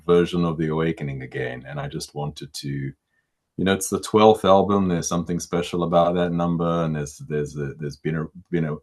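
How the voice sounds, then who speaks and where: A middle-aged man with a baritone voice, a musician answering interview questions in a casual, halting way, often repeating words as he thinks. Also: steady tone.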